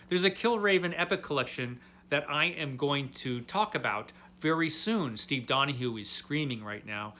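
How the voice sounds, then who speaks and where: Male review host, higher-pitched and forceful, casual and tongue-in-cheek, leans hard on key words. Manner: animated, emphatic with drawn-out key words; tongue-in-cheek, mock-baffled. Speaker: host of a comic book review series. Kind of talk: casual comedic comic book recap, talking to viewers.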